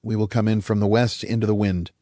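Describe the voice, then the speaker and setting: A man voicing a brisk, teasing woman pilot giving instructions in an audiobook. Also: fairly low pitch, little pitch movement, dark tone, no room sound, clean studio sound, close to an everyday voice. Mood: instructing.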